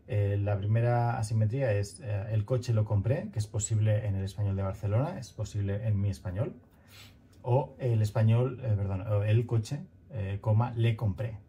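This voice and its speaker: A man in his thirties giving a recorded grammar lecture over slides, talking in a thoughtful, halting way with many fillers and restarts, from a small room at home on a headset. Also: lowish voice, dark tone, slight background noise. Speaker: grammar teacher.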